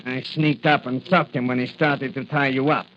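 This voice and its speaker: A man's voice: medium pitch, little pitch movement, moderate pace, close to an everyday voice. An actor playing a smug, slangy gangster henchman in 1940s radio style. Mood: gloating. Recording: muffled old radio recording, faint noise.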